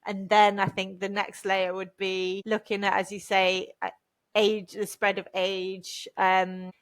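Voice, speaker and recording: Female podcast guest with a level, medium-pitched delivery, informally thinking through her answers. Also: level tone, measured pace. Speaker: interview guest, language business founder. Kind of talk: conversational interview.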